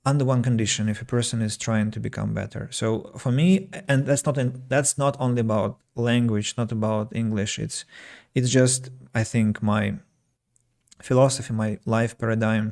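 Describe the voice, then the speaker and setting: A man, his voice gravelly with vocal fry, talking alone on his podcast. He reflects on listeners' comments as an English teacher, with plenty of fillers and false starts. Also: medium pitch, fairly even intonation, normal speed, a little mellow. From Russia. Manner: reflective, reads listener comments aloud, frequent fillers and restarts. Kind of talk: solo podcast talk.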